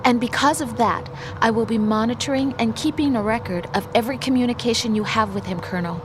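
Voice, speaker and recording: An actress playing a young intelligence analyst in a video game, protective and headstrong, speaking in an earnest, assertive but tender way. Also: female voice, fairly high pitch, quick pace, music in the background, natural voice. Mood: firm, defiant.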